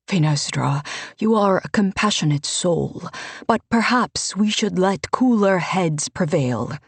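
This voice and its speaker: A woman voicing a calm, measured male official, slow and steady. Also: fairly low pitch, breathy edge, dry recording, full character voice.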